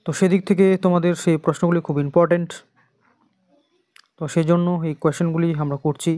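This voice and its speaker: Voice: mid-range voice, fairly even intonation, quick pace, dark tone, dry recording. An exam coaching teacher with an Indian Bengali accent, reading out quiz questions and repeating the answers in an instructional way.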